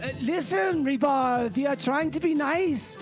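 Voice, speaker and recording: A man's voice: very high voice, measured pace, music in the background. A man voicing an eager, ingratiating German comedy villain with a German accent in a radio skit. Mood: pleading. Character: Ralph, upbeat self-declared peace-loving German Nazi, wheedling to join.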